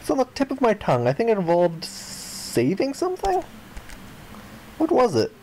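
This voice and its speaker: A man voicing a flippant, grandiose young amnesiac castaway, reading visual novel dialogue aloud in an expressive way. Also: high-pitched, moderate pace, slight background noise, pitched above the performer's own voice, full character voice, low-quality recording. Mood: earnest, grandiose. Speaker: video game player reading aloud. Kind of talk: dramatic read-aloud of visual novel text.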